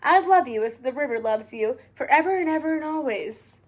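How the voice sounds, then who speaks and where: A woman voicing gentle mother animals in a storybook, soothing and reassuring their young in measured phrases. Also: sits high, expressive. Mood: tender, reassuring.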